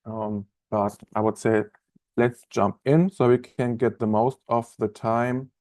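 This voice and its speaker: A man in his thirties with a German accent, chatting as a trainer in a live online software webinar, with many fillers and restarts. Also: mid-range voice, fairly even intonation, a little slow, no room sound. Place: office room, indoors.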